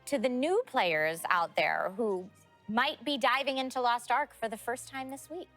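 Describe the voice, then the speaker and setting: A woman's voice: a little high, measured pace, fairly bright tone, soft background music. A woman hosting an interview, asking questions in an upbeat, appreciative way. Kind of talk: friendly press interview.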